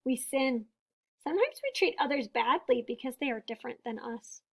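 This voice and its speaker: A woman reading a children's picture book aloud, with deliberate, emphatic phrasing and small explanatory asides for young listeners. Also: high voice, expressive, gravelly, husky. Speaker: storybook reader and teacher.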